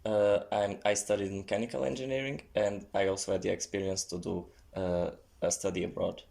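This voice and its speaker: A man speaking English as an as a podcast guest, hesitant with many pauses. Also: low-pitched, level tone, gravelly, darkish tone. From Portugal. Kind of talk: casual chat.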